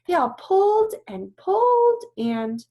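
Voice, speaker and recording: A woman's voice: extremely high pitch, very animated, slow pace, mellow, pitched above the performer's own voice, full character voice. A woman voicing an eager, earnest young female baby elephant in a read-aloud storybook, telling things in an emphatic, childlike way. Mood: excited, insistent.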